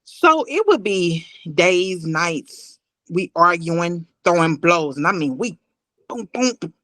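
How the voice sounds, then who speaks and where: A woman in her thirties telling a personal story as an interview guest on a video call, deliberate and emphatic, repeating phrases. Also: lowish voice, animated, a bit bright, no echo.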